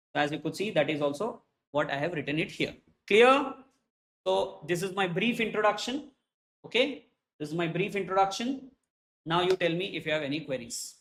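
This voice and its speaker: A man's voice: a little high, a bit bright. A man from Hyderabad with an Indian English accent, a Hindi native speaker in his late thirties, giving a chatty classroom self-introduction as an accountancy teacher and checking in with "okay?" again and again.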